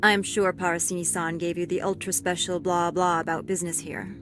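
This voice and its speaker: A woman's voice: lowish voice, level tone, lightly acted. Stern female security captain over music, an actress. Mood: dry, matter-of-fact. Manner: formal, measured, dry. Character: stern, fair-minded female security captain, weary ex-marine. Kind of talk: scripted video game acting.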